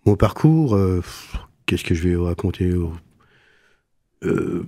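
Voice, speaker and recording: A man in his seventies from Lorraine, answering radio interview questions while pausing to search for his words. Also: low voice, animated, warm tone, no room sound, clean studio sound. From Lorraine, France. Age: 70s. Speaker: association vice-president, guest. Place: radio studio.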